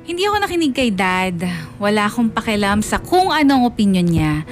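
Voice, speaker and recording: Woman as a wounded young storyteller, Filipino accent, plaintive, reflective, medium pitch, music bed. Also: big pitch swings, a little fast, lightly acted. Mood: resentful, reflective. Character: hurt, resentful child telling of parents' separation. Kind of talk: first-person confessional radio drama narration, Taglish.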